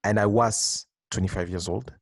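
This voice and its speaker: A man in his twenties, a dance teacher, answering interview questions with halting restarts and frequent laughs. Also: on the low side, fairly even intonation, dry recording, clean studio sound. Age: 20s.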